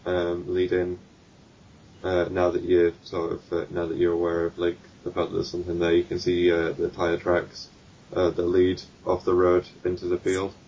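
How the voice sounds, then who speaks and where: A man's voice: deep voice, completely flat delivery, normal speed, a little mellow, a little hiss or hum. A man narrating a tabletop game as game master, hesitant and full of ums, on a distant dull mic. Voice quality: hesitant, filler-heavy.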